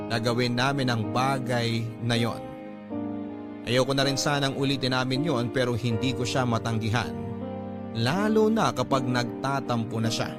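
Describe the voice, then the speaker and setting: A man narrates a radio drama in a dramatic first-person storytelling style. His mid-pitched voice sits over loud background music. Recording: loud music bed under voice.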